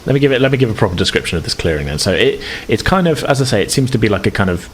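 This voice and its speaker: A man's voice: lowish voice, very animated, rapid-fire. A man running a tabletop game as game master, improvising descriptions and often groping for words. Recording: clear background noise.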